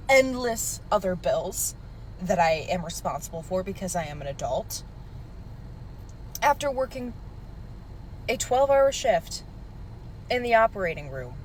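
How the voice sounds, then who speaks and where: A woman's voice: mid-range voice, slight background noise, poor audio. A weary, frustrated woman who works in an operating room, venting to camera.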